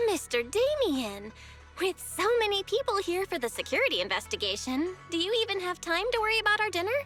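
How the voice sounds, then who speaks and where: High-voiced, curious young heroine. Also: female voice, big pitch swings, fairly quick, a bit bright, soft background music, exaggerated voice. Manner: polite, inquisitive. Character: curious, perceptive young woman, game protagonist. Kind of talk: English video game dub acting.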